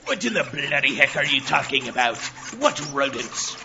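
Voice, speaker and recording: A gruff, gravelly pirate captain, blusters in a swinging, theatrical voice. He is pompous and threatening, in a muffled audio drama recording with noise around him. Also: medium pitch, sing-song, moderate pace. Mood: irritated. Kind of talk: comic audio drama acting.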